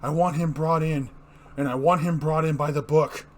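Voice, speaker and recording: Man acting a distraught, high-strung police commissioner. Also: fairly even intonation, moderate pace, crowd noise, natural voice. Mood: insistent. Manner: emphatic, insistent, anguished. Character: distraught, shaken but principled male police commissioner. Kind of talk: dramatic reading of comic book dialogue.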